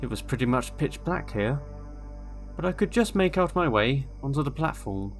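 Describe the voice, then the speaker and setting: A man narrating a scripted first-person horror story in a measured, deliberate way with dramatic pauses. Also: mid-range voice, lively intonation. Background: eerie background music.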